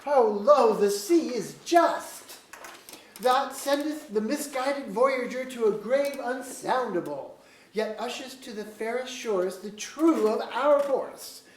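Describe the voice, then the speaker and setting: A woman plays a plotting earl at court in a verse drama, in an echoey auditorium. The delivery is slow, formal and declaimed, with a fairly high voice. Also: lively intonation, a little hiss or hum. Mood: persuasive, conspiratorial. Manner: formal declamatory archaic diction. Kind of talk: staged reading of a mock-Shakespearean verse play. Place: museum auditorium staged reading.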